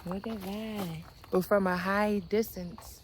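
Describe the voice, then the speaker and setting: A woman in her thirties, sleepy and a little raspy, chatting casually in a family vlog from inside an RV. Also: medium pitch, takes it slowly. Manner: chatty, relaxed, laughs often. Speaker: family vlogger. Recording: loud background noise.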